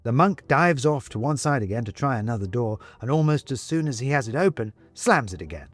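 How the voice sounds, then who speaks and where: A man narrating an audiobook story in a deliberate, expressive, literary delivery. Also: mid-range voice, faint music behind.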